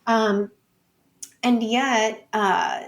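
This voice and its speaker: A woman's voice: fairly high pitch, steady tone, drawn-out words. A woman speaking as an interview guest, explaining ideas in a thoughtful, informal way with a lot of conversational hedging. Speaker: podcast guest, author and academic.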